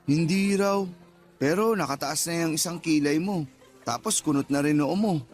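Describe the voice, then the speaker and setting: An actor playing a defensive, teasing, flippant young boyfriend with a Filipino accent in a radio drama, whining out excuses. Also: male voice, on the high side, normal speed, a little mellow, natural voice. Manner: whiny, flippant excuse-making. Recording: background music under the voice.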